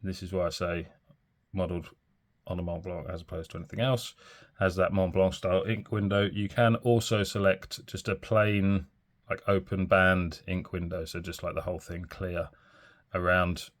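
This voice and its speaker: A man's voice: sits low, little pitch movement, normal speed. A man reviewing a fountain pen in a chatty, rambling way, full of ums and small corrections, a little throaty and bunged up from a cold. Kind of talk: casual product review.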